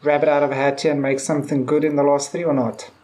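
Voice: medium pitch, little pitch movement, fast, a little mellow. A podcast co-host talking casually in a discussion, conversational and peppering sentences with "like". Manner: conversational, heavy use of "like".

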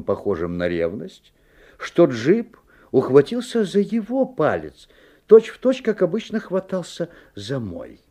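An actor playing an anxious, watchful father who narrates a strange tale in a 1970s radio play, expressive and dramatic. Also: medium pitch, close to an everyday voice. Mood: uneasy.

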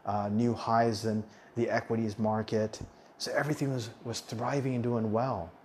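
A man's voice: on the low side, a little mellow, slight background noise. Man in his forties, easygoing talker. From United States. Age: 40s. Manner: conversational, with frequent restarts and self-corrections. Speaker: podcast host on living abroad. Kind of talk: casual monologue.

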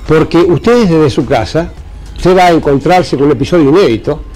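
A man's voice: a little high, a little fast, a little mellow. A man delivering grandiose mock commentary on a radio show.

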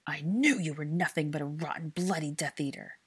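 A woman voicing a large, surly, vengeful man in an audiobook, gruff, angry and snarling. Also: low-pitched, fairly quick, no room sound.

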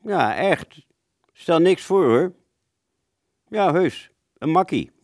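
A man's voice: a little high, animated, takes it slowly, darkish tone, dry recording, clean studio sound. A man playing a wartime fighter pilot who looks back on a mission, telling it reflectively and hesitantly in a radio play. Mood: reassuring. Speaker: storytelling narrator of a radio play. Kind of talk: reflective first-person radio drama narration.

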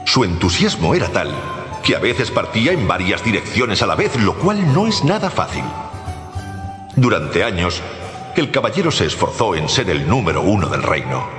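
A man narrating a storybook tale for radio in an expressive, theatrical way. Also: on the low side, normal speed. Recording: echoey long reverb, music behind.

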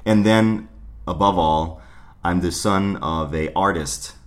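A man from Germany whose first language was English, a guest in a casual interview, telling his story in a halting, self-deprecating way with many restarts. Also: deep voice.

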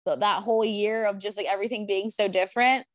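A woman's voice: mid-range voice, fairly even intonation, fast, no echo. Chatty young woman, dry close sound. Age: 20s. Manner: chatty, laughing, full of "like" fillers. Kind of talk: casual chat.

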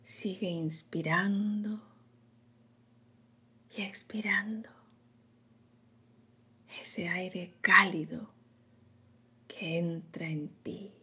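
Female meditation guide, lowish pitch, extremely breathy near-whisper, soft and soothing phrasing. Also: fairly even intonation. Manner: slow, hushed delivery in short phrases with long pauses.

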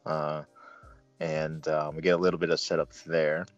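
A low-pitched man hosts a casual movie review. He rambles and stops and starts, then leans hard on certain words. The sound is slightly dull and close, with faint music underneath. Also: flat delivery, normal speed, no echo. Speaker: film review host.

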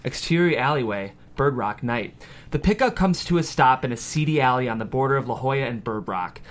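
Mid-pitched man reading screenplay narration. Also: lively intonation, brisk. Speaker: stage-direction narrator. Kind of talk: scripted screenplay narration at a table read.

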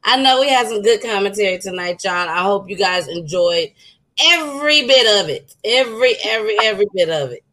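A woman's voice: mid-range voice, animated, fairly quick, pushed and bright. A woman hosting a podcast in casual chat, repeating words as she thanks her listeners. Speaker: podcast host.

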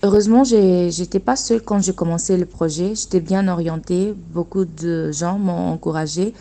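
A young woman from Angola, a native Portuguese speaker, talking earnestly about her work in a radio interview and often pausing to find her words. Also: on the low side, fairly even intonation, warm tone. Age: young adult. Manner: earnest, searching for words with restarts. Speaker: guest, dressmaker running her own workshop.

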